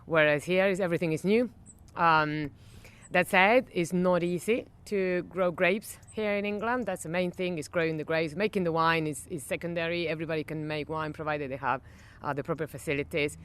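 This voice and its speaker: Argentine woman, smooth clear voice. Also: deep voice, fairly even intonation, a bit bright. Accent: Argentine Spanish. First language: Spanish. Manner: halting, with many fillers and restarts. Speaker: wine estate general manager. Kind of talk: conversational interview. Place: outdoor garden.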